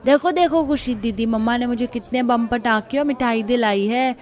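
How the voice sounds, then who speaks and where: Woman doing a high, eager small child. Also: a little mellow, natural voice. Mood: gleeful. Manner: childish, drawn-out, singsong.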